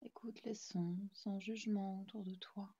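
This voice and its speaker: A woman narrates a guided relaxation session as a yoga nidra teacher. Her voice is mellow and relaxed, set at a medium pitch. She gives gentle, soothing instructions in short phrases, and the recording sounds a little dull. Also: a little slow, husky.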